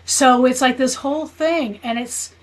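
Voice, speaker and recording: A woman's voice: high-pitched, a little slow. A woman in her fifties with an American accent, talking as a guest in a conversational interview, explaining earnestly and often checking for agreement. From United States. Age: 50s. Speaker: guest, spiritual healer and teacher.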